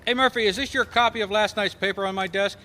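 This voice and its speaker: An actor playing a dogged, skeptical private detective in a 1950s radio drama, wry and colloquial. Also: male voice, high-pitched, steady tone, quick pace, bright, forceful tone, slight background noise. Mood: reading aloud. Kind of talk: radio detective drama acting, with first-person narration.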